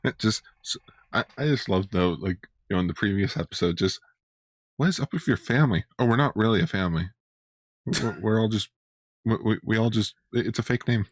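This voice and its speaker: A man co-hosting a podcast in casual fan chat, often halting and repeating words as he goes. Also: fairly low pitch, no echo. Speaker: podcast co-host.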